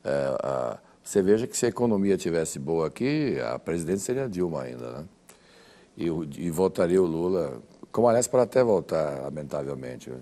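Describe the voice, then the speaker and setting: A man's voice: low voice, lively intonation, moderate pace, dark tone. A Brazilian man speaking as a panelist on a TV show, commenting in a rambling way. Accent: Brazilian Portuguese. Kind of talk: TV studio panel discussion.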